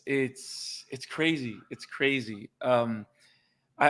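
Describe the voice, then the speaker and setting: A man from Puerto Rico, a native Spanish speaker with a Puerto Rican accent, talking excitedly as a podcast co-host in casual chat. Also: mid-range voice. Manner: excited, repeats words when fired up.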